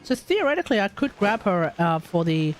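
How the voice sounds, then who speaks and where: A woman's voice: mid-range voice, sing-song, brisk, slight background noise. A woman doing casual, chatty live game commentary, thinking aloud as she plays. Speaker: game streamer. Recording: game music behind.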